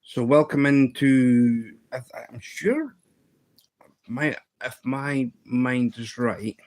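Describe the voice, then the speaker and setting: Scottish male host, fifties, unhurried, rambling and playful with plenty of ums, good for a chatty home live stream. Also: medium pitch, fairly even intonation, darkish tone. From Scotland. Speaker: livestream host. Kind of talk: casual live-stream chat.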